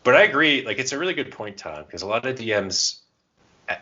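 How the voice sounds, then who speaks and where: A man co-hosting a podcast, chatting casually and telling stories by acting out quick bits of dialogue. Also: mid-range voice, sing-song, bright, forceful tone, dull audio. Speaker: podcast co-host. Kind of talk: casual chat about tabletop roleplaying.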